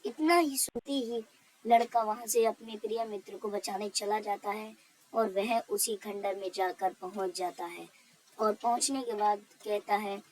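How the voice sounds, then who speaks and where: A woman's voice: medium pitch, fairly even intonation, measured pace, a little mellow, low-quality recording. A woman storyteller narrating a cartoon tale.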